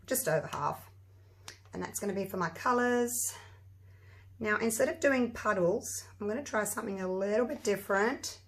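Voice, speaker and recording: An Australian woman talks in a croaky, husky voice, chatty and rambling as she explains each step of her craft project. Her pitch sits in an ordinary middle range for a woman. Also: moderate pace. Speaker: resin craft channel host. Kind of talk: casual craft tutorial talk.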